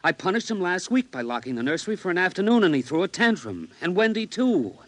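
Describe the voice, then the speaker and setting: A man's voice: high voice, big pitch swings, fast talker, fairly bright tone, no echo. An actor playing a placating, patronizing husband and father who can turn stern, in mid-century radio drama style. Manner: soothing, patronizing, sometimes stern. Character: placating, dismissive husband and father, firm with his family.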